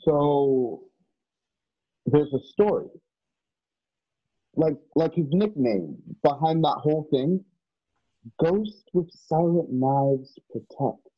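A man playing an earnest, anxious young man in a radio drama, with a husky voice and a hesitant, stammering delivery. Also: a little high, expressive, takes it slowly, pauses often, no echo. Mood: curious, tentative. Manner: hesitant, stammering, repeating words. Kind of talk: online radio drama acting. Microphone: video call, home setup. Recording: dull lo-fi video call.

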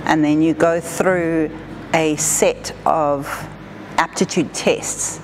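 Low-voiced woman explaining her job. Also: fairly even intonation, slow pace, darkish tone, a little hiss or hum, poor audio. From southern Africa. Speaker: air traffic controller being interviewed. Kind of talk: explanatory interview talk. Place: indoor room with wood-panelled wall.

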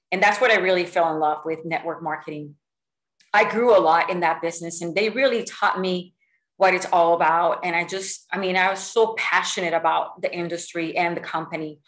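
A middle-aged woman from Puerto Rico giving a lively, motivational talk to her sales team over a video call, full of stories and fillers. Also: on the low side. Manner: lively, animated storytelling with many fillers. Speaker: sales executive speaking to a team. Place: home room with bookshelves. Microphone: video call webcam.

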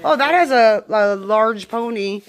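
A woman's voice: on the high side, a little slow. A woman hosting a vlog, chatting casually to viewers and exclaiming often while she and her partner unpack a metal storage unit. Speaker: vlog host.

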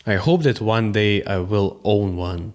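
A man's voice: lowish voice, measured pace. A young man from Lithuania with a Lithuanian accent, chatting eagerly about cars to camera from his bedroom, in slightly broken English. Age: young adult. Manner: animated, gestures a lot, slightly broken English. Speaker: car vlogger. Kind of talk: casual enthusiastic chat to camera. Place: bedroom, indoors. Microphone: studio mic on a stand.

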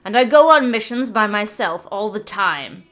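A woman's voice: mid-range voice, animated, normal speed, a bit bright, close to an everyday voice. Woman playing a prim, huffy old wizard. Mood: irritated. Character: old male wizard, proud and easily annoyed. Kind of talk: storybook reading.

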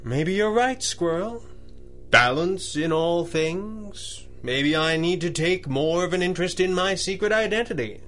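An actor playing a resolute, wry masked superhero with crisp authority in a radio drama. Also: male voice, sits high, expressive, measured pace, a bit bright, soft background music. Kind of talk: 1930s-style radio drama acting.

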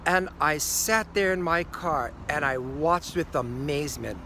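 An older man with a Hawaiian accent telling a ghost story to the camera, slowing down and going quiet at the key moments. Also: fairly high pitch, a little hiss or hum. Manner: slow, hushed at key moments. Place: green screen studio. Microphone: facing camera at close range.

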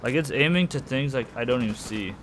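A man's voice: mid-range voice, fairly quick, background noise. A man giving casual, slangy commentary as he plays a video game, grumbling in exasperation. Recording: game gunfire behind the voice. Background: shooter game gunfire and military chatter.

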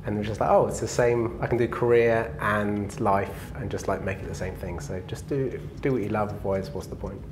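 A man in his thirties from England, answering interview questions in a relaxed, easygoing way with plenty of fillers, on a lapel mic in an indoor lounge. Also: fairly low pitch, a little fast, hardly any pauses, background noise. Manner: relaxed, laughs easily, many fillers. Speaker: interview guest, engineering manager.

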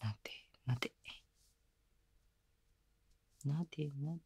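Male actor as tender young boyfriend for ASMR sleep roleplay: breathy, hushed, soothing, very close and dry. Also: a little high, fast, no room sound, heavily acted. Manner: soft, soothing, tender, coaxing, often dropping to a whisper. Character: gentle doting young boyfriend lulling his sleepy partner. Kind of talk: Japanese ASMR boyfriend roleplay, intimate bedtime audio drama. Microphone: close binaural microphone.

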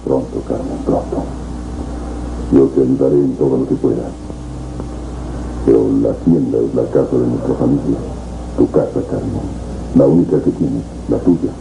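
Very deep-voiced reassuring telenovela gentleman. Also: fairly even intonation, normal speed, vocal fry, long pauses. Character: protective male relative, firm, calmly reassuring. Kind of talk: 1970s telenovela acting. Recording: muffled, noisy, echoey old TV tape.

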